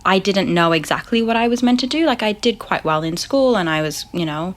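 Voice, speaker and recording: A woman's voice: mid-range voice, lively intonation, brisk. A young Australian woman, a psychologist, talking reflectively in a casual interview with frequent ums. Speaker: psychologist guest.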